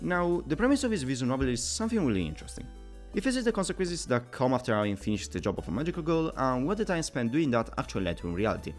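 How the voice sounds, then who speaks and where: A man's voice: medium pitch, big pitch swings, fast talker, faint music behind. A man narrating a scripted video game review as the host of his own channel.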